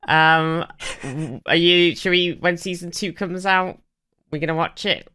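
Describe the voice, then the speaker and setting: A podcast co-host in casual chat, speaking in a halting, hedging way. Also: medium pitch, steady tone, bright, forceful tone, clean tone.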